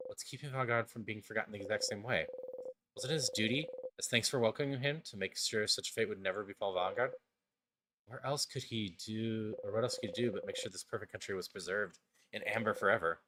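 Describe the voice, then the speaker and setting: A man's voice: fairly low pitch, background noise, natural voice. A man playing an earnest, wistful game narrator, reading the text dramatically in the second person. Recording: music behind the voice.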